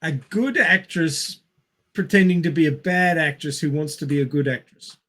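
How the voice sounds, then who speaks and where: A man with a fairly high voice chats as a podcast co-host. His talk is casual film discussion, explanatory, working through his reasoning point by point. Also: normal speed, a bit bright.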